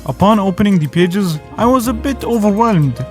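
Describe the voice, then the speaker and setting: Higher-voiced man, native Arabic speaker. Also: expressive, normal speed. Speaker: video reviewer and host. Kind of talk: scripted review narration with casual asides. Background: faint music.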